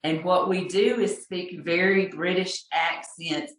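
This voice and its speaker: A Texan woman with a slightly low voice shares a personal story over a video call. She talks in a chatty, self-deprecating way and laughs often. Her voice rings in an echoey room. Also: steady tone, slow. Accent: Texas. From Texas.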